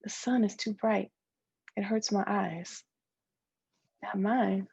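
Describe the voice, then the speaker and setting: A woman giving an expressive read-aloud of a novel's dialogue, acting out both sides of a conversation. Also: mid-range voice, slow pace, creaky, breathy edge, dry recording, lo-fi sound. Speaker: guest reader of a novel.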